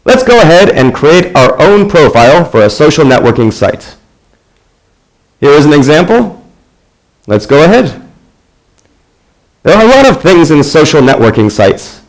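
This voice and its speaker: A man in his thirties from the United States, narrating an English lesson in a clear, deliberate way. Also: on the high side, very animated, rough recording. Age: 30s. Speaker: English teacher. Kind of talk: scripted classroom narration.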